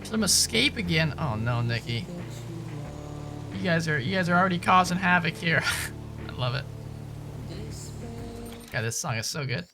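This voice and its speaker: A man's voice: a little high, lively intonation, normal speed, pauses often, music in the background, low-quality recording. A man streaming a game, chatting casually with viewers in informal slang and commenting as he plays. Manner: informal, slangy, says dude and man often. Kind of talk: casual live-stream chat and gameplay commentary.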